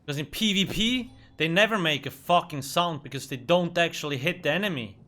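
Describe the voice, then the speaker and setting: A man's voice: on the high side, brisk, pushed and bright. A man whose first language is Swiss German, streaming a game with loose, profane commentary and muttered reactions between chat. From Switzerland. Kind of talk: casual gameplay commentary.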